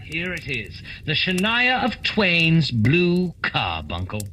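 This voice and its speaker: A man playing a shrewd, self-assured gentleman detective with a precise, theatrical manner in a radio play. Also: a little high, huge pitch swings, slow, over music, exaggerated voice. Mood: knowing, theatrical. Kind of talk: holiday radio play acting.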